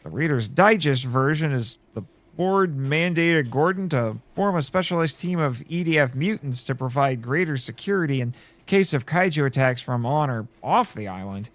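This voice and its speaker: A man with a theatrical, excitable delivery, acting and narrating a scripted first-person drama. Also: on the high side, moderate pace. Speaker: host and narrator of a fiction podcast.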